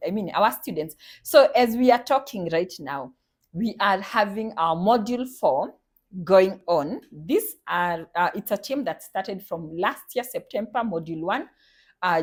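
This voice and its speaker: Earnest Kenyan woman psychologist. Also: mid-range voice. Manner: earnest, urging, repeats her points. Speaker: psychologist and center director. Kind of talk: promotional talk to viewers.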